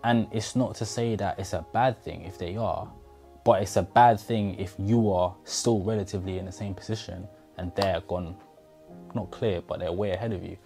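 A man's voice: sits low, fairly even intonation, normal speed, vocal fry, mellow, soft background music. A man in his twenties giving an earnest motivational talk to camera in his bedroom, speaking straight to the viewer on a lapel mic. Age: 20s. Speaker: motivational vlogger. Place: bedroom indoors. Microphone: clip-on lapel mic.

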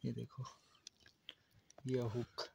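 A man's voice: mid-range voice, completely flat delivery, very slow, vocal fry, noisy background. Chatty young man vlogging by a river. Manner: chatty, addresses viewers as friends. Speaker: fishing vlogger. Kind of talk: casual outdoor vlog narration. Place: riverbank outdoors below a dam.

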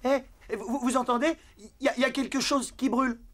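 A woman voicing an excitable, bumbling young male puppy in a cartoon, stammering and repeating words. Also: fairly high pitch, a little fast, soft background music, natural voice. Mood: alarmed. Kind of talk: French cartoon dubbing.

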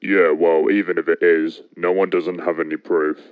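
A man voicing a surly, combative cartoon steam engine, gruff, blunt and insulting. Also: low-pitched, level tone, normal speed, no echo, phone-quality sound, close to an everyday voice. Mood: grumbling. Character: surly, foul-mouthed male steam engine, younger, combative. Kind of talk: fan animated game cutscene acting.

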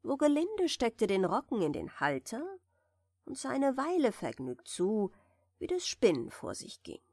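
A woman reading a fairy tale softly and soothingly as a bedtime audiobook narrator, in a measured way. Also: fairly high pitch, wildly swooping pitch, breathy edge, dry recording, clean studio sound. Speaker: audiobook reader of fairy tales.

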